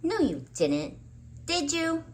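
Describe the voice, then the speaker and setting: Woman acting an excitable, expressive little storybook girl. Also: very high voice, takes it slowly, a little hiss or hum, voice pushed up, heavily acted. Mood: disappointed, incredulous. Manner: exclaiming, expressive children's storybook delivery. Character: excitable little girl narrator, pink-obsessed, hopeful.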